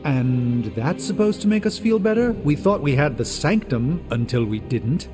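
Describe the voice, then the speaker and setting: A man's voice: a little high, expressive, normal speed, close to an everyday voice. Man as a haughty, swooping sorcerer hero. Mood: scornful. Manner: pompous, cutting. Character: haughty, caustic male sorcerer superhero. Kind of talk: dramatic video game acting. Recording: music behind the voice.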